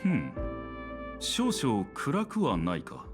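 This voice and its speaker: A man's voice: mid-range voice, huge pitch swings, a little slow, music in the background. An actor playing a talkative, over-the-top middle-aged father and proud scholar in a game. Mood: critical, dry. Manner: over-the-top, chatty, self-assured. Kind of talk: Japanese game voice acting.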